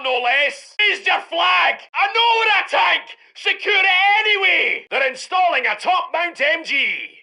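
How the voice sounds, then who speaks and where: An actor playing a gruff British tank commander in a war video game, barking short military orders in a forceful, gravelly voice. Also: male voice, very high voice, heavily acted. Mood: brisk, commanding. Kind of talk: shouted military game barks.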